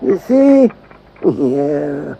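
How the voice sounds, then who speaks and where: An actor playing a scrappy old backwoods hermit with a creaky voice and a folksy, rambling rural American drawl in an old-time radio drama. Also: male voice, a little high, sing-song, drawn-out words, full character voice. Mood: proud, easygoing. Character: scrappy old backwoods hermit man, wary, boastful, loyal. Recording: muffled, hissy vintage radio recording.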